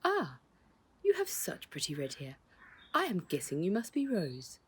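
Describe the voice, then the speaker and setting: A woman's voice: fairly low pitch, huge pitch swings, a little slow, fairly bright tone, husky, pitched above the performer's own voice, full character voice. A woman voicing an elegant, gracious lady visitor in a storybook, chatty, genteel and confiding. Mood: gracious, inquiring.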